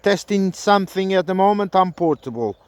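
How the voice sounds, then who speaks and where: A man's voice: high-pitched, animated, a little fast, background noise. A man making radio contact as a CB operator, speaking hesitantly and repeating himself. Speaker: CB radio operator testing an antenna. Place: outdoors in a park.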